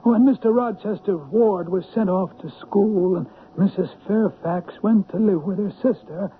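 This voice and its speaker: High-voiced, talkative old manservant played by a man. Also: moderate pace, lightly acted. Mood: wistful. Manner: rambling, folksy storyteller. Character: loyal, talkative old manservant recounting a tragedy. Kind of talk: 1970s radio drama acting. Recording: tinny, noisy old radio broadcast recording.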